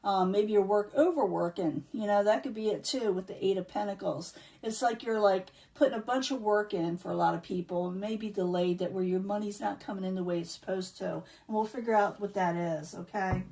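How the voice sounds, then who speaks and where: A woman reading tarot cards in a casual, chatty way, losing her voice and clearing her throat now and then. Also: mid-range voice, fairly quick, hardly any pauses, warm tone, recorded from a distance. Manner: chatty, repeats herself, many um fillers. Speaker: tarot reader.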